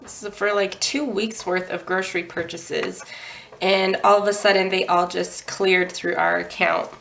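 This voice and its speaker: Slow, gravelly-voiced woman with a head cold. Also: mid-range voice, steady tone, a bit bright, slight background noise, rough recording. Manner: unhurried, with frequent ums and throat clearing. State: congested with a head cold, nasal. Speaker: budgeting channel host. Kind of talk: casual budget walkthrough.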